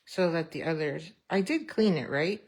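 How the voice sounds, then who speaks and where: A woman chatting casually through a card-stamping tutorial, thinking aloud and poking fun at herself, her voice going a bit hoarse. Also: sits low, animated, moderate pace. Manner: chatty, self-deprecating, thinks aloud while working. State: losing her voice, clearing throat. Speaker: stamping craft demonstrator. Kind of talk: casual craft tutorial chat.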